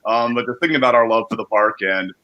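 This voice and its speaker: A man's voice: mid-range voice, fast, highs cut off. A man co-hosting a livestream as producer, talking casually and rambling, often correcting himself mid-sentence. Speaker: producer, co-host.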